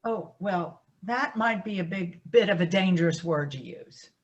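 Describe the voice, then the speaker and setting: A woman playing a conciliatory, gently meddling family peacemaker in a staged play reading, placating and tentative. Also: lowish voice, unhurried, lo-fi sound, lightly acted. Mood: tentative, chiding.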